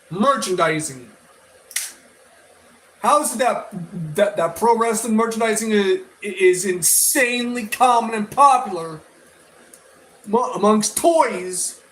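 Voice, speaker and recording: A man with a high-pitched voice talks through his opinions in a casual review vlog style. His delivery is slow and halting, with drawn-out words. He circles back to repeat and restart phrases as he rambles. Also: lively intonation, a bit bright, low-quality recording. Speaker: toy and media review vlogger.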